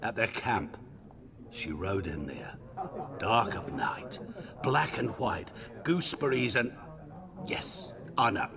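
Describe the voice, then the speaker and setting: A man's voice: fairly low pitch, very animated, takes it slowly, creaky, pauses often, a crowd in the background, heavily acted. An actor playing a sly, talkative male vagrant in a video game, wheedling and theatrical, dropping into whispers.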